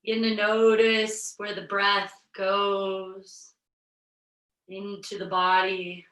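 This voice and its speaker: A woman yoga instructor with a slight head cold, guiding a virtual class through breathing in a slow, soothing way. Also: medium pitch, completely flat delivery, a bit bright, roomy sound, recorded from a distance, rough recording.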